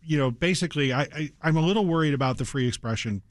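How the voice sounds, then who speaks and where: A man on a radio news roundtable in a studio, speaking in a measured, deliberate way and pausing to find his words. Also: a little high, no echo. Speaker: panelist, good-government advocate.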